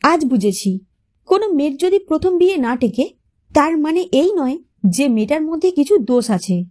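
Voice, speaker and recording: Woman playing a remorseful, mellow older mother-in-law. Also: high-pitched, sing-song, moderate pace. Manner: emotional, apologetic, motherly. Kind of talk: dramatised audio storybook.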